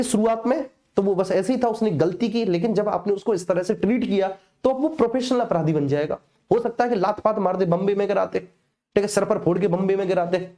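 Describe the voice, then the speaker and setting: This is a man with a North Indian accent and a soft-edged, mellow voice. He lectures like a coaching teacher on a live class, in everyday colloquial speech. His delivery is didactic, punctuated by small check-in tags to his students. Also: high-pitched. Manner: colloquial, didactic, checks in with repeated tag phrases. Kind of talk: live online exam lecture with personal anecdotes.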